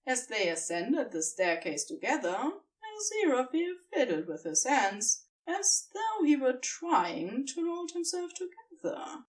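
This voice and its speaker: Female narrator for fan fiction. Lively intonation, unhurried pace, expressive storytelling, a little off-mic. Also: high voice, recorded from a distance, low-quality recording.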